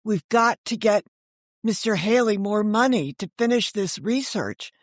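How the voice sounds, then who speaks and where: A woman's voice: mid-range voice, lively intonation, a little slow, no echo, studio quality. An older woman telling stories as an author and interview guest, given to rhetorical questions and acting out dialogue. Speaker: guest, author and former book editor. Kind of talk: animated storytelling in a podcast interview.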